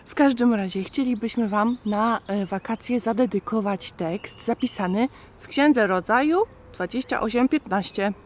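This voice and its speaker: A woman's voice: on the high side, moderate pace, few pauses, a little mellow. A woman in her thirties presenting a children's puppet show outdoors, talking simply and directly to the children. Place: outdoors in a garden.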